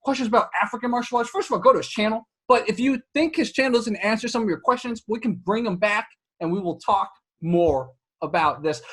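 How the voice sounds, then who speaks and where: A man from Southwest China hosting an interview, chatty and quick to laugh, with many fillers and restarts. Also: sits high. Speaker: podcast host. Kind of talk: casual interview chat.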